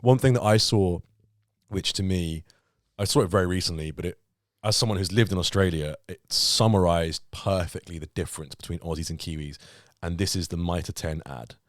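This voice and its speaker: A man's voice: low voice, moderate pace, dry recording, clean studio sound. A young British man guesting on a podcast, wry and self-mocking in casual chat.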